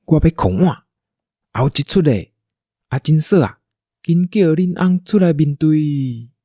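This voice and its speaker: A man's voice: fairly high pitch, animated, a little slow, warm tone, no room sound, clean studio sound. A man with a Taiwanese accent giving a casual speech as a storyteller, playfully dramatic.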